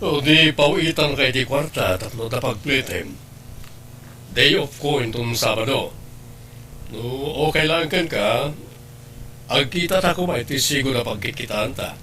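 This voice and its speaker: Actor as flirtatious male suitor, bright voice, Ilocano accent, casual coaxing delivery, radio drama, faint background noise. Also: mid-range voice, expressive, unhurried, long pauses. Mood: reassuring. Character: flirtatious, generous working man courting a woman. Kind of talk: Ilocano audio drama acting.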